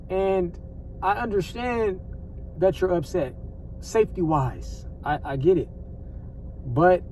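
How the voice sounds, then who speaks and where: A man's voice: high-pitched, slight background noise. American man vlogging, a bit distant. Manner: conversational, repetitive, peppered with slang and swearing. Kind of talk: casual off-the-cuff news commentary.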